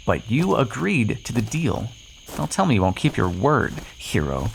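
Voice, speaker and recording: A man's voice: medium pitch, big pitch swings, normal speed, creaky, slight background noise, rough recording. A man playing a cocky, flirtatious, sardonic vampire in an ASMR roleplay, teasing the listener with pet names, sarcasm and swearing. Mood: smug, teasing.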